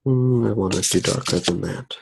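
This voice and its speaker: A soft-spoken man doing an unscripted ASMR demonstration, musing aloud in a hushed way as he works. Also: fairly low pitch, fairly quick, gravelly, slight background noise. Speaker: ASMR artist narrating own drawing.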